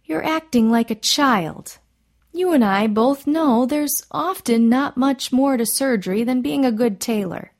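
A woman voicing a brisk female medical practitioner who is curt, firm and chiding in an audiobook story. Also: high voice, a little mellow, voice pushed up.

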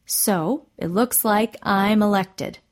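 Country doctor, male, wry and stubborn, quick to protest read by a female audiobook narrator. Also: medium pitch, natural voice. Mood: wry retort.

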